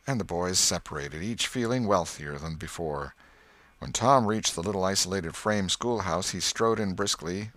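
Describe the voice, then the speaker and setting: A man's voice: sits low, a bit bright, no room sound. A man reading a classic story as scripted audiobook narration.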